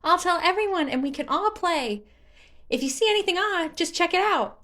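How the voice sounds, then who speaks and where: A woman voicing a tiny, childish wooden forest sprite, cheerful, playful and excitable, in a fan fiction audiobook. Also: normal speed, heavily acted. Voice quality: childish, high-pitched. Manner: excitable, enthusiastic.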